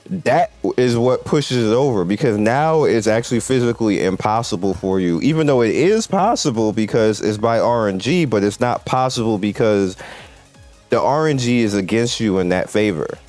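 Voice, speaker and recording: A man's voice: medium pitch, darkish tone. A man hosting a gaming video, giving casual, emphatic commentary and repeating his points as he addresses viewers. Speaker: host of a mobile game video channel. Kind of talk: casual gameplay commentary, talking to viewers and developers. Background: music.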